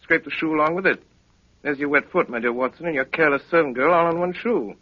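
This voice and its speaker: An actor playing a brisk, self-assured, eccentric detective in a 1930s radio drama, with clipped, theatrical, dryly amused delivery. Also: male voice, a little high, dry recording, natural voice. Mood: dry, rapid deduction. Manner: clipped, theatrical, haughty, hesitating with dry understatement. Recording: dull vintage 1938 radio broadcast.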